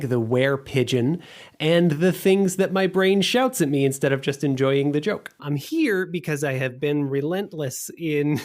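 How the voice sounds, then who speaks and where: A man hosting a podcast, talking with enthusiasm in both introductions and conversation. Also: a little high. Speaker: podcast host. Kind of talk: scripted podcast introduction and casual interview talk.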